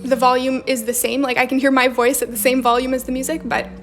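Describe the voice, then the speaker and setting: High, even-voiced young woman with a slight Romanian accent. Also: quick pace. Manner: chatty, reads viewer comments aloud and answers them. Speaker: livestream host. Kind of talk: casual livestream chat while journaling. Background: faint background music.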